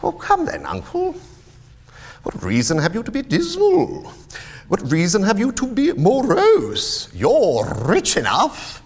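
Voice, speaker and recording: A man voicing a miser's cheerful, earnest young nephew in a storybook reading, exclaiming and pleading warm-heartedly. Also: high-pitched, wildly swooping pitch, slow pace, a little hiss or hum, voice pushed up, heavily acted. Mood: playful protest. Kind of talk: storybook character voice in dramatic reading.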